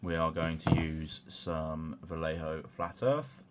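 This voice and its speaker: Low, croaky-voiced man demonstrating a craft. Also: slow, heavy background noise. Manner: relaxed, rambling, many fillers and restarts. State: croaky voice from a sore throat. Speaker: hobby tutorial host. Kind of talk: casual hands-on tutorial.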